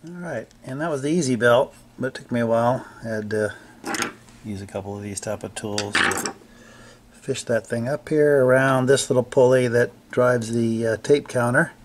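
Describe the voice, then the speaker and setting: An older man casually narrating a hands-on repair, thinking aloud in a halting, unscripted way. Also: mid-range voice, a little hiss or hum. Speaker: hobbyist restorer presenting a repair video. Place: indoor basement-like home workshop.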